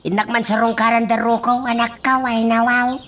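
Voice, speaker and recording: A woman voicing a kind, devout aging father in a radio drama, full of sighing exclamations and soft reassurance. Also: a little high, level tone, moderate pace, distant mic, a little hiss or hum, lightly acted. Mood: reassuring.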